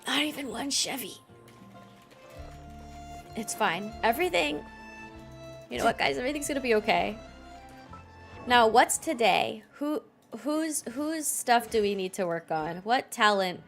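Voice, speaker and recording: A woman's voice: a little high, animated, a little slow, long pauses, soft background music. A woman chatting casually while livestreaming a game, informal and sometimes muttering to herself.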